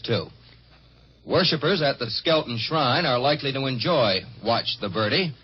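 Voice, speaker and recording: Dry guy doing old radio movie reviews, husky, muffled sound. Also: medium pitch. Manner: dry, wry, measured. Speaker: film reviewer. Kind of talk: scripted 1950s radio review. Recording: muffled 1950s radio recording.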